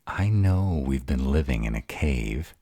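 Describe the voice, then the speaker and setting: A man's voice: a bass voice, animated, unhurried, mellow, slightly rough, no echo, clean studio sound, exaggerated voice. A man playing a grumpy, insecure were-dragon in a romantic roleplay audio drama, hesitant and defensive as he courts his mate. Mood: shy, relieved. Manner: hesitant, defensive, self-doubting.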